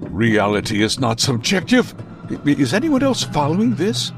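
A man's voice: on the high side, wildly swooping pitch, music in the background. A man playing a grandiose, righteous comic-book vigilante in an old-time radio drama, speaking with pompous melodrama. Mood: bewildered. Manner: pompous, melodramatic, drawn-out delivery.

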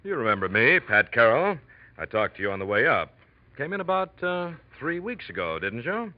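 An actor playing a nosy, affable American ticket clerk in an old radio drama, talking in a folksy, halting, chatty way. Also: male voice, medium pitch, very animated, close to an everyday voice. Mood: chatty, curious. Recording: dull vintage radio recording.

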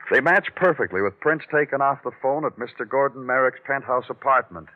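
A man's voice: mid-range voice, a little fast, close to an everyday voice. Man playing a terse hard-boiled detective, tinny vintage radio. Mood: methodical, brooding. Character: dry, dogged male police detective narrating his own case. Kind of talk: old-time radio detective drama acting. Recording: tinny old radio recording.

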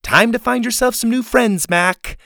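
A man's voice: mid-range voice, huge pitch swings, takes it slowly, a little mellow, no room sound, clean studio sound. A man voicing a bossy, indignant and theatrical schoolgirl in a haughty, scolding manner for a storybook.

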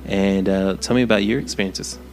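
A man's voice: low voice, fairly even intonation, fairly quick, faint music behind. A man from Texas co-hosting a casual podcast, chatty and asking his co-host questions. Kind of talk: casual chat.

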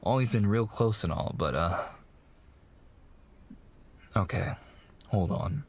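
An actor playing a mafia boss's young son, an eager, sweet fiancé coaxing in slangy gangster talk in an intimate roleplay. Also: male voice, fairly low pitch, expressive, slow pace, breathy edge, full character voice. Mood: flustered, reassuring. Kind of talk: boyfriend ASMR roleplay, intimate monologue.

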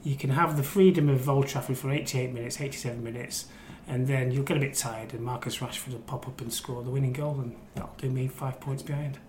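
A man's voice: mid-range voice, fairly even intonation, a little fast, few pauses. A man chatting casually about football as a podcast guest, teasing and rambling, often repeating himself. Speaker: crime reporter and podcast guest. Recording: echoey room, faint background noise.

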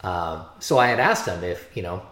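Deep voiced american guy hosting a sports podcast, casual stories, kinda echoey room. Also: lively intonation, moderate pace, fairly bright tone. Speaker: podcast host, sports reporter. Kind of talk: casual anecdotal podcast chat. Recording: roomy, echoing sound.

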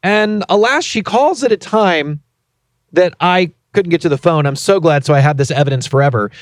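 A man's voice: a little high, animated, moderate pace, no echo, clean studio sound. A man in his thirties co-hosting a casual podcast, telling a story in a playfully indignant way. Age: 30s. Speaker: podcast co-host.

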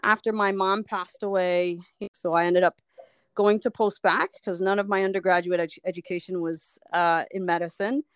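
A middle-aged woman from Liberia, a physician telling her life story in an interview, thoughtful, often pausing and restarting her phrases. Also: on the low side, steady tone, clear, resonant tone, dry recording. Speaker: sports medicine physician, guest. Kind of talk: reflective personal story in an interview.

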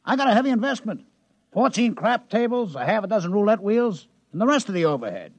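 An actor playing a brash, folksy, shrewd casino boss with slangy, breezy hustler talk in a vintage radio drama. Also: male voice, high voice, very animated, fast talker, no echo. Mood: brash, bargaining.

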